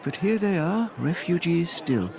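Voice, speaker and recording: A man playing a calm, thoughtful, courteous companion in a video game, speaking in a measured, reflective way. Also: fairly high pitch, vocal fry, noisy background. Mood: calm, grave. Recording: game music and crowd behind.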